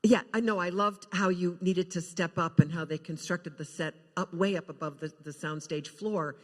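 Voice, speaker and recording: A woman speaking as a panel guest, earnest and halting, often restarting her words as she recalls her work. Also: lowish voice, fairly even intonation. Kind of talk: casual recollection on a live panel. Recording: echoey hall, live panel sound.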